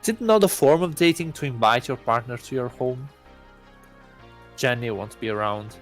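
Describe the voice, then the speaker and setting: A man's voice: mid-range voice, a bit bright. Man voicing a self-important, aloof god. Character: self-proclaimed god posing as student, aloof, impatient, deluded. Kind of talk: first-person visual novel narration.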